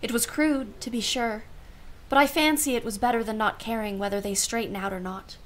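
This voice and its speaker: A woman's voice: on the high side, fairly even intonation, fairly quick, a little hiss or hum, natural voice. A woman playing a wry, world-weary aunt in a radio play, with a dry, arch manner and formal phrasing. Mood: politely ironic. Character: wry, world-weary aunt minding her young niece. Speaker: actress.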